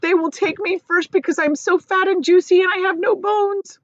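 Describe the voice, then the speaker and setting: A woman's voice: very high-pitched, steady tone, moderate pace, dry recording, lo-fi sound, voice pushed up. A woman voicing an anxious, insistent earthworm who expects doom in a children's storybook. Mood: panicked. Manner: panicky, insistent, pleading. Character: anxious, doom-predicting earthworm, fat and juicy.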